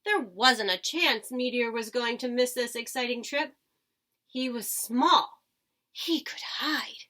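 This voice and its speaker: A woman's voice: fairly high pitch, slow pace, pushed and bright. A woman reading a children's storybook aloud like a teacher, expressively, with dramatic emphasis. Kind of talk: expressive children's storybook read-aloud with short asides to listeners.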